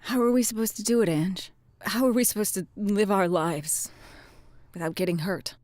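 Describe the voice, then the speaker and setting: A woman's voice: mid-range voice, moderate pace, darkish tone, dry recording, close to an everyday voice. An actress playing a troubled, self-doubting middle-aged former policewoman, earnest and hesitant, in naturalistic audio drama dialogue. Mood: frustrated, searching. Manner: hesitant, stammering, apologetic.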